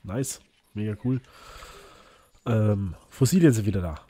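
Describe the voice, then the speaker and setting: A man who is a bit hoarse from illness, chatting casually and rambling as he hosts a let's play, with lots of fillers and restarts. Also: lowish voice, a little slow, dark tone, dry recording. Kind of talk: casual gameplay commentary.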